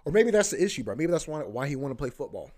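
A man's voice: on the high side, very animated, fast talker, darkish tone. A man hosting a podcast, rambling casually about sports, repeating himself, swearing and saying "bro" a lot. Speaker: podcast host.

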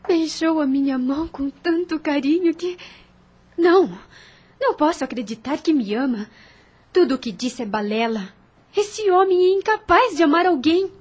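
An actress playing a gentle but resolute young heiress who rejects a suitor in a radio drama. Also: female voice, high voice, lively intonation, normal speed. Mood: doubtful.

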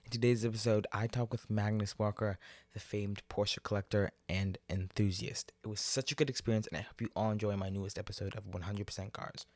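A man's voice: on the low side, steady tone, fairly quick, no echo. A young man hosting a podcast interview, asking earnest questions and reacting eagerly to the answers. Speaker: podcast host.

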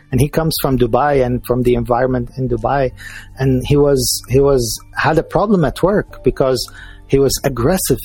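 Syrian guy chatting, halting and restarting a lot, dark mellow husky tone, faint music behind. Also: mid-range voice, dry recording. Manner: halting, many restarts and fillers. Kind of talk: casual conversation.

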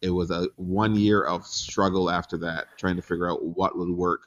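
A man in his forties from Barbados, a guest chatting casually in an interview, relaxed, laughing easily and pausing with ums. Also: deep voice, lo-fi sound. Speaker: guest entrepreneur.

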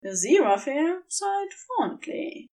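Expressive, high-voiced woman narrator. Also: unhurried. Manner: expressive, dramatic storytelling. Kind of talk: scripted fiction narration.

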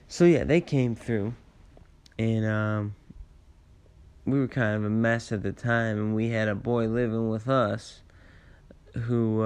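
A man with a low, raspy voice full of gravelly creak, sounding tired at the end of a long day. He rambles through personal memories at a very unhurried pace. His phrasing is halting and reflective, with laid-back slang. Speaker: storyteller sharing personal memories. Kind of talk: casual rambling personal storytelling.

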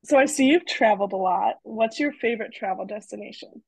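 A young woman co-hosting a student podcast, reading intros and asking quick, friendly questions. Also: mid-range voice, vocal fry, breathy edge, no echo, low-quality recording.

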